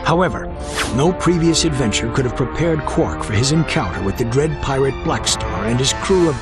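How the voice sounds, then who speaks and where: Video game narrator, male, actor-performed: pompous, mock-heroic, reluctant, highly animated delivery over loud music. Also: normal speed, lightly acted. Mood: grandiose. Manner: grandiose announcer delivery with dry exasperation.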